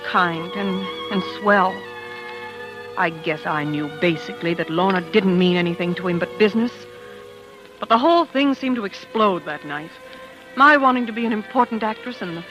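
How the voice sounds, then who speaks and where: An actress playing a jealous, vain, dramatic wife in a theatrical, self-pitying 1940s radio style. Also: female voice, medium pitch, a bit bright, close to an everyday voice, rough recording. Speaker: lead actress and first-person narrator. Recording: old 1940s radio recording with music.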